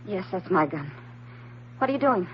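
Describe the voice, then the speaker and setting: A woman playing a frightened, naive and anxious woman in an old-time radio drama, speaking haltingly and earnestly. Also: mid-range voice, very animated, lightly acted. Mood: anxious, confessing. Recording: dull, slightly noisy old radio recording.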